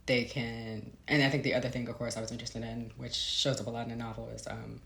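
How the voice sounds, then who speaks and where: Woman in a roomy space, creaky voice. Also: very deep voice, little pitch movement, quick pace, a bit bright. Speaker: interviewed novelist. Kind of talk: reflective conversational interview. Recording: roomy, echoey sound.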